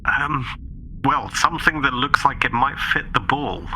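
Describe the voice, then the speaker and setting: A man with a very bright, mid-pitched voice roleplays in a tabletop game. He is courteous and tentative, padding out his sentences, over a faintly noisy recording. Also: unhurried. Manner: polite, tentative, wordy. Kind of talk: in-character improvised roleplay.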